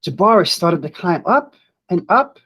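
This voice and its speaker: Female storybook reader, slightly low register, very expressive swings, unhurried and deliberate. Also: breathy edge. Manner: expressive, deliberate, pauses to comment to viewers. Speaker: educator reading aloud. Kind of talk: children's storybook read-aloud.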